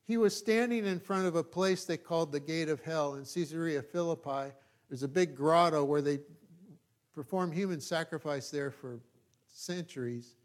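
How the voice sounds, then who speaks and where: A male pastor from California with a Californian American accent and a voice pitched a little above average. He preaches in a loose, conversational way, folksy and self-deprecating. He often halts, then checks that his listeners are following. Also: normal speed. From California, United States. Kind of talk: informal, conversational sermon.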